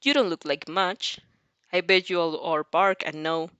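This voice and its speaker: A woman's voice: lowish voice, measured pace, fairly bright tone, clear, resonant tone, no room sound, lo-fi sound, pitched below the performer's own voice. A woman voicing a theatrical, haughty teenage boy who mocks others, in an audio fan fiction. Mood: sneering. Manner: mocking, dramatic.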